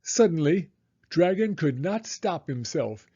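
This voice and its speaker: Guy reading a picture book to little kids, unhurried n very expressive, pitch goes up and down a lot. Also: slightly rough, lo-fi sound. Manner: deliberate, animated storytelling. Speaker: children's storybook reader. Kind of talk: expressive read-aloud story narration for young children.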